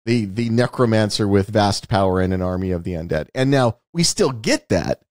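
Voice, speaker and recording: A man's voice: on the low side, animated, moderate pace, no room sound, studio quality. A man co-hosting a casual film discussion, explaining his points emphatically and often rephrasing them. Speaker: podcast co-host discussing films.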